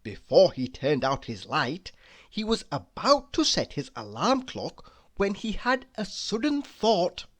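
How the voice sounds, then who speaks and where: A woman reading a children's story aloud, deliberately and with dramatic emphasis. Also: on the low side, huge pitch swings. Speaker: storybook reader. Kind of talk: expressive children's read-aloud narration.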